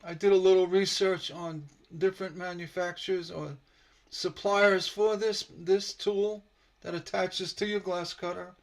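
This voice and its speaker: A man teaching stained glass on a casual live stream, slightly hoarse from a sore throat, relaxed and rambling with many fillers. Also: high voice, unhurried. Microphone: computer mic on a live stream.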